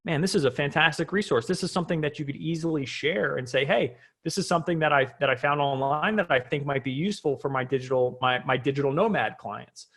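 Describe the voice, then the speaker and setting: A man with a high-pitched voice walks through an on-screen tutorial in a casual, unscripted way. He talks like a coach, conversational and often checking in with short tag questions. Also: fast talker, few pauses, a bit bright. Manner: conversational, frequently checking in with "right?". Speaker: online business coach presenting a tutorial.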